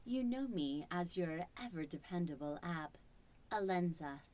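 An actress voicing a calm, measured, reassuring voice-assistant app in a radio horror drama. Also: female voice, fairly low pitch, no echo. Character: soothing, sinister female voice-assistant app.

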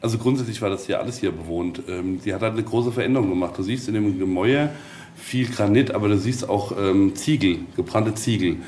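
A man giving an informal guided tour, explaining step by step in halting phrases on location in an old castle. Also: on the low side, a little mellow. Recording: faint ambient noise on location.

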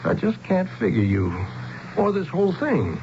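A man's voice: high-pitched, huge pitch swings, normal speed. An actor playing a bewildered, skeptical American man stranded as an outsider in a 1970s radio drama. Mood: skeptical, puzzled. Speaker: actor and narrator. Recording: muffled, noisy old radio broadcast.

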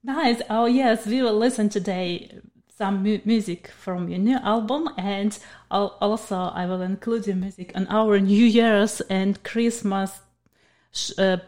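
A woman's voice: medium pitch, unhurried, a little mellow. A woman radio host with a Ukrainian accent, speaking non-native English in an eager, effusive way. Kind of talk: radio interview.

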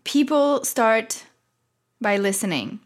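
A woman hosting a casual, reflective interview, speaking in a measured, step-by-step way. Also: on the high side, expressive. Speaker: interviewer, host.

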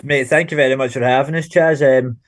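A man's voice: mid-range voice, steady tone, quick pace, dry recording, clean studio sound. A Scottish man with a Glasgow accent, a podcast guest chatting informally in slang with the odd swear word. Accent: Glaswegian Scottish. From Glasgow, Scotland. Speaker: podcast guest, rock band frontman. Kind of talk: casual chat.

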